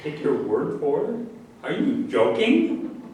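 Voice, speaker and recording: The sound is echoey and distant, with faint noise. A man acts out a proud veteran candidate in a stage debate, with a slightly high male voice and an earnest, challenging manner. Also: huge pitch swings, slow, a little mellow, exaggerated voice. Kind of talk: staged play reading, satirical. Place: live staged reading in an echoey room.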